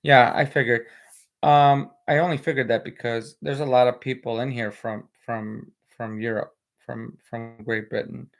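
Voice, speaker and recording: A man's voice: medium pitch, level tone, normal speed, vocal fry. A man with an American accent, whose first language is Spanish, chatting casually on a live stream in a rambling way, with many fillers, and reading viewer comments. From United States.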